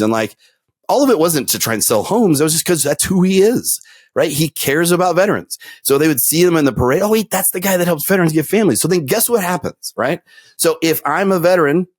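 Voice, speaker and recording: A man's voice: medium pitch, no room sound, clean studio sound. A man telling stories in a casual podcast conversation, with many fillers and restarts. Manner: storytelling, animated, many fillers.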